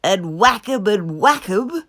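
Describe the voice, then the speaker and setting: A woman voicing a boastful, excitable, melodramatic male toad from a children's storybook, in an exclaiming manner. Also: mid-range voice, takes it slowly, dry recording, studio quality, heavily acted. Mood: ecstatic. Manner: dramatic, exclaiming delivery. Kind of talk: children's storybook character reading.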